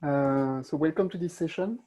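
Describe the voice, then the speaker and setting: A man from France with a French accent, introducing the next speaker at a meetup and pausing often on "uh". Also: a little high, mellow. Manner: hesitant, with many "uh" fillers. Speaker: community team meetup host.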